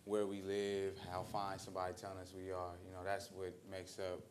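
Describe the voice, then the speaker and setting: An American man giving reflective social commentary at a roundtable, speaking deliberately and repeating phrases for emphasis. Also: deep voice, monotone, a little hiss or hum. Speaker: roundtable participant. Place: indoor roundtable discussion at a museum.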